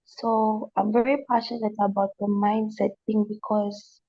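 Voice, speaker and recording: A Zambian woman with a slight mixed accent, speaking earnestly on a panel, pausing and restarting as she thinks. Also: mid-range voice, little pitch movement, husky, lo-fi sound. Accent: slight non-Zambian accent from family. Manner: earnest, thoughtful, halting with restarts. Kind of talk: panel discussion.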